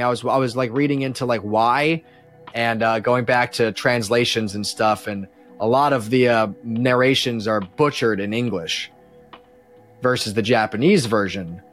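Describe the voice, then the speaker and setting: Male podcast guest, mid-pitched, casual and opinionated, rambling with enthusiasm, music present. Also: fairly bright tone.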